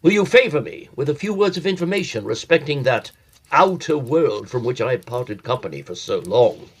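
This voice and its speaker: A man's voice: mid-range voice, lively intonation, moderate pace, husky. A man playing a stern, reclusive scholar in a period audio drama, formal and imperious with a stiff courtesy. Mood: stiffly courteous. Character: stern, reclusive scholarly master of a lonely house.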